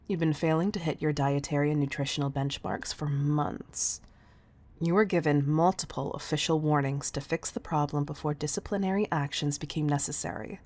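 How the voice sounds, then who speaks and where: A woman voicing a stern yet doting tough-love CEO in an audio roleplay, speaking in a measured, condescending way with pet names. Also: deep voice, a little mellow. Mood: stern scolding. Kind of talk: scripted audio roleplay addressed to the listener.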